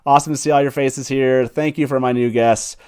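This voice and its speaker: A man's voice: medium pitch, steady tone, a little fast, darkish tone, smooth. A man hosting a casual livestream, speaking in an enthusiastic, chatty way. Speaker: show host.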